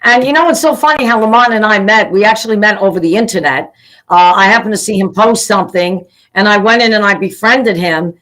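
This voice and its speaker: A woman's voice: mid-range voice, fairly quick, rough recording. A middle-aged woman hosts an interview in a mid-range voice. She chats easily and heaps effusive praise on her guest. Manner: warm, chatty, effusive praise. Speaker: pageant CEO, interview host. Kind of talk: casual interview talk.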